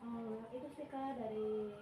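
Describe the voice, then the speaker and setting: A woman's voice: on the high side, fairly even intonation, measured pace, a crowd in the background. A young woman playing a client in a counseling role-play, talking casually and rambling with fillers. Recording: echoey room, distant mic, noise.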